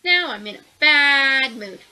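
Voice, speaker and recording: A woman's voice: sits high, animated, measured pace, pushed and bright, heavily acted. A woman voicing a grumbling, grumpy old-know-it-all mole. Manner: grumbling, grouchy.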